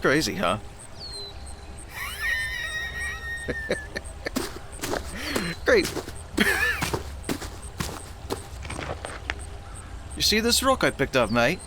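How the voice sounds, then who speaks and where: Male actor as hot-tempered cocky fighter, brash matey swagger, extremely animated pitch, audio drama, noisy background. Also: a little slow. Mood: indignant.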